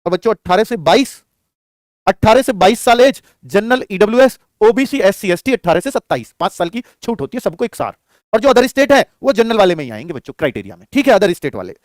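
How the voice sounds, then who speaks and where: A high-pitched man teaches like a coaching instructor. He talks fast in a dry, close recording, addressing students familiarly and posing questions that he answers himself. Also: sing-song, no room sound. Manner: explanatory, addresses listeners familiarly, asks and answers own questions. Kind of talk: lesson on job vacancies addressed to students.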